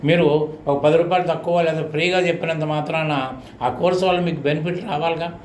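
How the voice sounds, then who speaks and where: A middle-aged Telugu Indian man with a slightly high voice talks like a coaching teacher advising students. He is didactic and repeats his points for emphasis. The recording is very echoey, with faint noise behind him. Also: fairly even intonation, brisk. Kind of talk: informal advisory talk to students.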